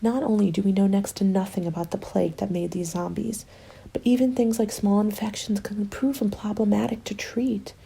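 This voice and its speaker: Woman acting a hushed, very creaky starving survivor. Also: mid-range voice, steady tone, normal speed. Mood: desperate, resolute. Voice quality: creaky, soft. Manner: hushed, breathy, drifting into whispers. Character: starving, bitter woman survivor, former nurse, in zombie apocalypse. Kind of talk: ASMR dramatic roleplay monologue. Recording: music under the voice. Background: music.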